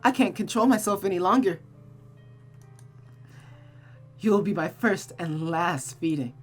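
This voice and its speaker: A woman puts on a young witch from a visual novel, raised in pitch and swelling in volume. She coos endearments with obsessive, deranged hunger and a taunting edge, over clear music. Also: normal speed. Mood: infatuated. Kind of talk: visual novel character read-aloud.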